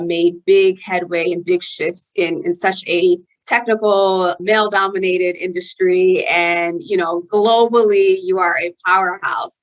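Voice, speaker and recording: A woman's voice: lowish voice, no echo, poor audio. A woman hosting a podcast, speaking in an effusive, motivational manner. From Haiti. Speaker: podcast host. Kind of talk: podcast intro and interview hosting.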